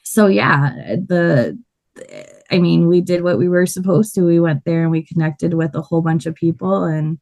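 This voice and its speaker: A woman guest talks through her story with a loud, animated delivery and frequent laughter. Her voice sits a little low for a woman. She speaks in a relaxed, conversational interview manner. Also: moderate pace. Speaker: guest, body painter.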